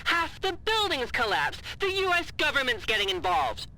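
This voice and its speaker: An anxious colleague in an audio drama, with a high voice that swings up and down. He hedges and apologizes in a halting, defensive way, over faint noise. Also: huge pitch swings, pushed and bright, full character voice, rough recording. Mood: insistent, uneasy. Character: anxious, apologetic male colleague reporting bad news by radio. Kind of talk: naturalistic audio drama dialogue.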